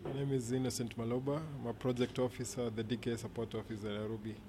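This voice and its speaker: A man's voice: medium pitch, level tone, fast. A man with a Kenyan accent formally introducing himself and his work as a project officer. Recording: clear background noise.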